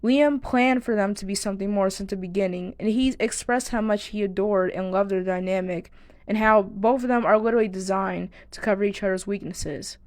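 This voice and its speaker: A woman's voice: medium pitch, fairly quick, no room sound, clean studio sound. A woman giving video essay commentary to viewers, speaking earnestly and now and then stumbling over words. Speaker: video creator and host.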